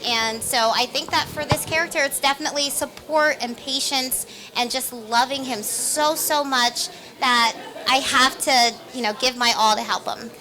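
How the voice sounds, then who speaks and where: A woman's voice: sits high, fairly even intonation, normal speed, crowd noise. A woman, a stage actress, giving conversational interview answers in an earnest, emphatic manner.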